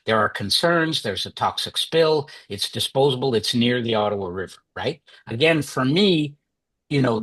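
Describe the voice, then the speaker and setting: Male workshop presenter, slightly husky, dry close sound, chatty teacher with lots of "you know" and "right?". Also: medium pitch, a bit bright, dry recording. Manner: chatty, many fillers and restarts, asks "right?".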